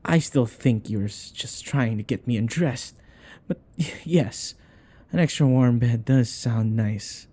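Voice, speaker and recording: A man voicing a world-weary, wary vampire in a breathy, gravelly, languid voice for an ASMR roleplay. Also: unhurried, mellow. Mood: grudging, flustered. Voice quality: breathy, gravelly, low-key.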